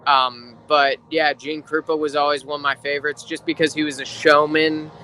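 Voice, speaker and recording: A man's voice: on the high side, flat delivery, moderate pace, sharp tone, a little hiss or hum, poor audio. A man talking casually as an interview guest, a drummer explaining his craft. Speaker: guest, rock drummer and drum teacher.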